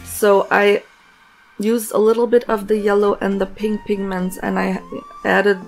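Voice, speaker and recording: A woman hosting a nail art tutorial, hoarse and raspy from a cold, narrating in a relaxed, chatty way with plenty of fillers. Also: mid-range voice, measured pace, faint music behind. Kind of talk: casual voice-over tutorial narration.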